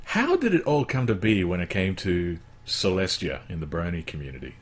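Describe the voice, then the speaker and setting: Deep husky bass-voiced male host. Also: very animated, a little fast. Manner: playful, joking asides. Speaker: interview host. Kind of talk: casual interview.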